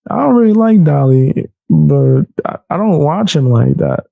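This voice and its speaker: A man's voice: high voice, vocal fry, warm tone, no echo. A man hosting a casual reaction commentary, loose and laughing, often repeating himself and calling people bro. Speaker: commentary YouTuber.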